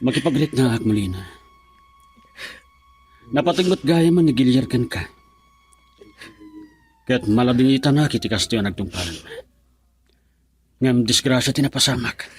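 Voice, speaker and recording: A grave, consoling man in a radio drama, acted by a man. His solemn voice sits at an average male pitch, with faint noise and clicks behind it. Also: expressive, moderate pace, pauses often, close to an everyday voice. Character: grave, concerned man breaking news of a death. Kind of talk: Ilocano radio drama acting.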